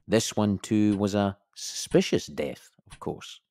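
A very low-pitched, officious investigator in an audio drama. He speaks in a measured, formal way, recorded dry and close. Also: darkish tone, no echo. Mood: measured, official. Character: dry, officious male investigator of a suspicious death.

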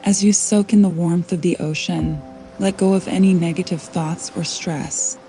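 A woman guiding a sleep meditation in a soothing, unhurried way, leaving long pauses between scripted phrases. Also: on the low side. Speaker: meditation guide. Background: soft calming background music.

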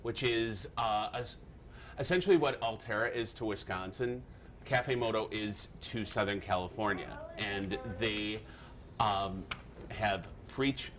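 A middle-aged man, a coffee shop owner, answering a live TV interview in a relaxed, friendly, slightly halting way. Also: on the low side, slight background noise.

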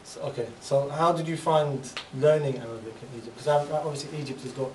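A man's voice: mid-range voice, fairly even intonation, fast. A man hosting a chat-show style interview, asking questions politely with religious courtesies. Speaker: host, interviewer. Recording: echoey room from far off, audible hiss.

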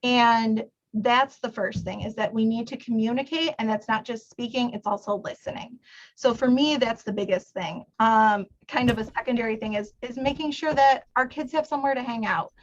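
A woman with a highish voice answers informally at a public forum. She sounds earnest and repeats phrases for emphasis. The recording is a little dull. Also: moderate pace. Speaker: city council candidate.

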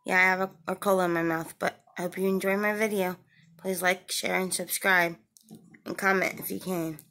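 A woman's voice: fairly low pitch, level tone, normal speed, pushed and bright. A middle-aged woman, chatting casually to her viewers from a small living room, recorded on a phone held at arm's length, friendly and repeating her requests to like and subscribe. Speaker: vlogger.

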